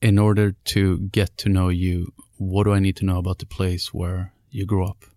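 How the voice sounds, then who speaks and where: A man's voice: deep voice, flat delivery, moderate pace, warm tone, dry recording, clean studio sound. A man interviewing a coach, asking long, winding questions full of restarts.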